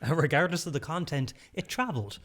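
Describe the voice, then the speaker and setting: A man's voice: a little high, lively intonation, fast talker, fairly bright tone. Dry-witted man, teasing. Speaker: podcast co-host. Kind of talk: casual chat. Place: wooden cabin.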